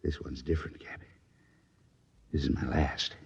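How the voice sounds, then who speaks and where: A hulking soldier in an old radio play, his voice very deep and husky, worn down to a low mutter. He sounds tired and fatalistic. The broadcast is dull and lo-fi, like a vintage transmission. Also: flat delivery, a little slow, exaggerated voice. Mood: weary, grim. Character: dying tough soldier Bat Ears Brady. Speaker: soldier on expedition. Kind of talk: 1950s radio drama acting.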